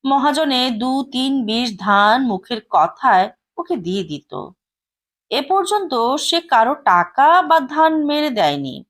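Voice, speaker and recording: A woman reads a short story aloud at an unhurried pace. Her voice is somewhat high and lively, moving up and down expressively. She tells the story in a calm, steady way. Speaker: story reader. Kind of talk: storytelling narration.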